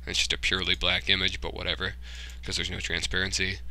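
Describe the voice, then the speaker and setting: Casual male tutorial host, low-pitched with a very crisp, forward edge, informal and muttering through each step. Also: monotone, fast talker, pushed and bright, rough recording. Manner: informal, muttering to himself while working. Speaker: tutorial host, hobbyist game developer. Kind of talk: casual screen-recorded software tutorial, thinking aloud.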